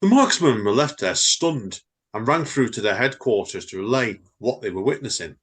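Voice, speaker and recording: A man's voice: mid-range voice, animated, moderate pace, a bit bright, breathy edge. A man co-hosting a podcast, chatting casually and reading stories. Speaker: podcast co-host. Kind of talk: casual chat and story reading.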